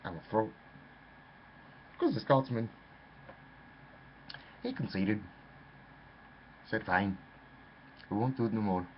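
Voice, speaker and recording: Male storyteller, low voice, wildly animated delivery, rambling and theatrical; muffled lo-fi take with faint noise. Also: slow, pauses often. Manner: theatrical, rambling, addresses listener as lad.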